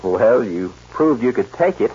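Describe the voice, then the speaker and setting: An actor playing a level-headed, sociable ranch foreman in a 1940s radio western, brisk and commanding yet friendly. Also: on the low side, very animated, mellow, slightly rough, full character voice. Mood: concerned, friendly. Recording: noisy, dull old radio recording.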